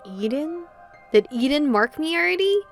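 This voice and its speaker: A woman's voice: sits high, big pitch swings, normal speed. A woman playing a visual novel, reading the narration aloud and reacting expressively as a streamer. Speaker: gaming streamer. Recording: game music behind the voice. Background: game music.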